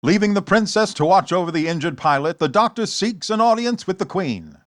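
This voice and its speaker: Guy doing a 1940s radio serial narrator, theatrical, highish voice, dry sound. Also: animated, fairly quick, no room sound. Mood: grand, dramatic. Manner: grand, theatrical storytelling. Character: dramatic male narrator of a superhero radio serial.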